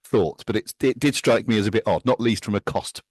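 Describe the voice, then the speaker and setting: A chatty man with a deep, low voice shares anecdotes as a podcast co-host. He trips over words now and then, and the recording is dry and close. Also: a little fast, a little mellow, no room sound. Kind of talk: casual music chat.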